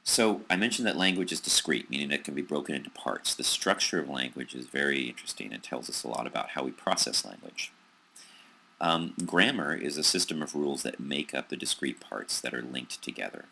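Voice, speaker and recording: Very deep, gravelly American male lecturer. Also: lively intonation, fairly quick, bright, forceful tone. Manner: hesitant, many ums and restarts. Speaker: psychology lecturer. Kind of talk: recorded lecture over slides.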